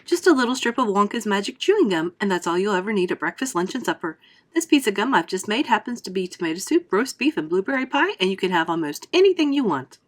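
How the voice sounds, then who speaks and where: A woman voicing an eccentric, excitable male candy inventor who boasts grandly about his latest invention. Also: fairly high pitch, fairly quick. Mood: boastful.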